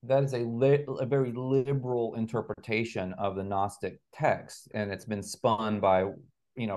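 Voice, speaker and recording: A man's voice: lowish voice, darkish tone. A man speaking as an interview guest, explaining speculative ideas in an articulate, assertive, conversational way. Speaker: interview guest, writer and researcher.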